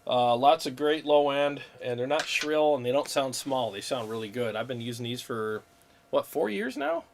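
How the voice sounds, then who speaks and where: A man's voice: medium pitch, animated, normal speed, creaky, a little hiss or hum. A man hosting a guitar livestream, chatting casually about gear with plenty of fillers and frequent laughs. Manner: laid-back, laughs often, talks gear with fillers. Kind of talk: casual chat.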